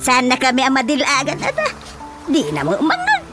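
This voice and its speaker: A woman's voice: sits high, huge pitch swings, a little fast, over music. A woman playing a brash, wheedling granddaughter in an Ilocano radio comedy drama, with an Ilocano accent. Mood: cheeky. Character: brash, wheedling granddaughter petitioning the village chairman.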